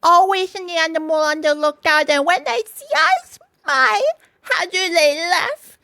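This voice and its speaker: A woman voicing an excitable, fussy male mole in a storybook reading, squeaky and breathless. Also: a little slow, vocal fry, no echo, heavily acted. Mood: grumbling.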